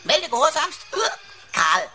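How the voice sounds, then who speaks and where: A woman's voice: high voice, big pitch swings, unhurried, pushed and bright, soft background music, exaggerated voice. A woman playing a cheeky computer sidekick in a German radio play, wordy and flippant with pompous mock formality. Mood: mock-servile.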